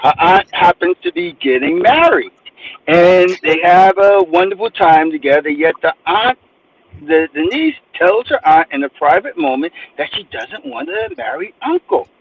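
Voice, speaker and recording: A man from New Jersey talks about his book as an interview guest. His voice is high and swings widely in pitch, and he acts out other people's questions. The sound is a bit dull, with faint noise behind. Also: big pitch swings, normal speed, creaky. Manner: emphatic storyteller, acts out quotes. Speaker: guest author. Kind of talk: animated interview talk.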